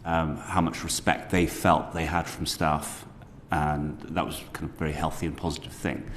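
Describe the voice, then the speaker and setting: A low-voiced man asks questions at a formal committee hearing in a measured, courteous way. His voice rings with a long hall reverb over faint background noise. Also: level tone, a bit bright. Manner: measured, polite, hedging questions. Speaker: committee member questioning witnesses. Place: parliamentary committee room.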